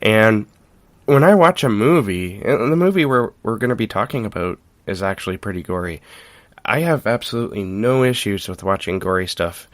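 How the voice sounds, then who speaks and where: A man hosting a podcast in casual chat, telling things in a halting, informal way with frequent chuckles. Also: lowish voice, expressive, no room sound. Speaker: podcast host.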